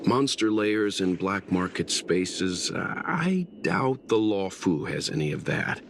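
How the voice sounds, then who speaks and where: A man's voice: low voice, animated, takes it slowly, exaggerated voice. An actor playing an easygoing, skeptical man in a video game, talking in a dry, hesitant way. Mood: doubtful. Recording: game audio with clear background noise.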